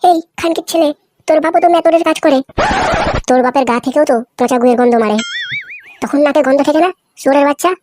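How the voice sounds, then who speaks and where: A woman's voice: high voice, fast talker, close to an everyday voice. A woman voicing a poor, foul-mouthed village lad in a slapstick cartoon, scrappy and indignant as he curses. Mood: offended. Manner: scrappy, cursing, aggrieved. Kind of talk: crude slapstick comedy cartoon dubbing.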